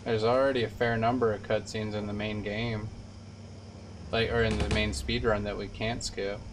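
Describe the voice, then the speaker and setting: A young man with a low voice casually talks through his gameplay in a laid-back way. He sounds away from the mic, with clear game noise behind him. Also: flat delivery, moderate pace, distant mic. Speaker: video game streamer, speedrunner. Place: indoor room, streaming setup with webcam. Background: loud video game audio.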